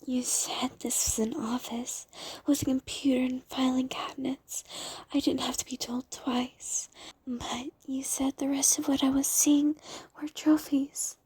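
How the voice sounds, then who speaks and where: A woman voicing a curious, easily bored succubus in a whispered ASMR roleplay, breathy and casual, with an inquisitive, teasing manner. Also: high-pitched, little pitch movement, measured pace, darkish tone, no echo, heavily acted, rough recording. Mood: bored, playful.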